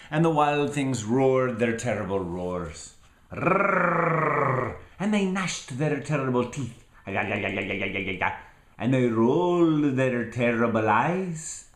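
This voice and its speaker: A man reads a children's picture book to his little one in a theatrical, playful way. His pitch swings widely as he draws words out at a very slow pace, pausing for asides. The room is echoey around him. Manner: theatrical and playful, with drawn-out words, gasps and sound effects. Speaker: father reading a picture book aloud to his small child. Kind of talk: animated storybook reading with asides to a child.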